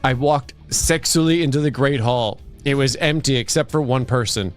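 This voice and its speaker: Male stream co-host, mid-pitched, joking dramatic read with banter, music behind. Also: normal speed. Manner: joking, breaks off for asides. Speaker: livestream co-host reading fan fiction aloud. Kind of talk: comic dramatic reading mixed with casual banter.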